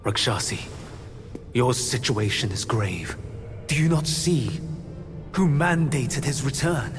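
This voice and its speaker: A man's voice: mid-range voice, lively intonation, slow pace. An actor playing a scheming, silver-tongued male immortal in a video game, formal, insinuating and theatrical. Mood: grave, persuasive. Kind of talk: dramatic video game cutscene acting. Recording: voice under prominent music.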